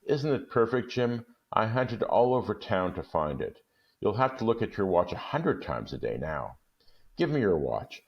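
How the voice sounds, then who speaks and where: Brief: man doing a young wife in a storybook, pleading and eager, gravelly tone pushed high, lively swings in pitch, dull lo-fi sound. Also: moderate pace.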